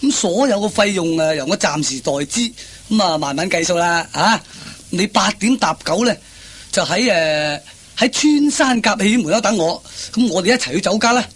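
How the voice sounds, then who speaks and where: A man's voice: high voice, animated, normal speed, fairly bright tone, husky, heavily acted. An actor playing a glib, self-assured male workmate who coaxes and schemes in a radio comedy. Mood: scheming, helpful. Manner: coaxing, glib, exclamatory. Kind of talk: Cantonese radio comedy acting.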